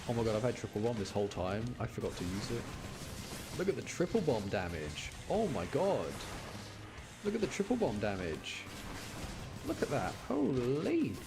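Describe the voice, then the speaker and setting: A man's voice: medium pitch, wildly swooping pitch, normal speed, vocal fry, over music. A man giving casual, enthusiastic gameplay commentary in a rambling, slangy way. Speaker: game streamer.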